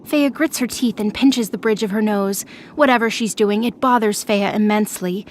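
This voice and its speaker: A woman's voice: medium pitch, normal speed, a little hiss or hum. A woman narrator reading scripted, dramatic fiction for an audio drama.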